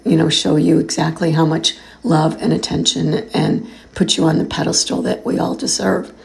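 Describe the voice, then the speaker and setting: A middle-aged woman hosting her own podcast, with a hoarse, raspy voice, reading points from a list and breaking off into rambling personal asides. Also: on the low side, darkish tone, rough recording. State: hoarse from illness. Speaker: podcast host.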